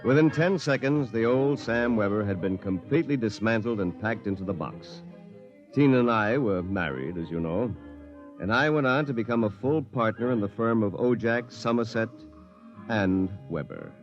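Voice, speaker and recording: An actor playing a smug, smooth-talking, cocky man with a scheming streak, in 1950s radio drama style. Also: on the low side, muffled recording, lightly acted. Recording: 1950s radio broadcast with music.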